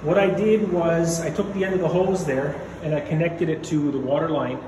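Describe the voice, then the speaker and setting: A man's voice: on the high side, fairly even intonation, normal speed. A man casually narrating his own basement repairs while filming on his phone, rambling and matter-of-fact with many fillers. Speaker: DIY home renovator. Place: concrete basement. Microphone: phone camera held while walking. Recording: echoey basement with background noise.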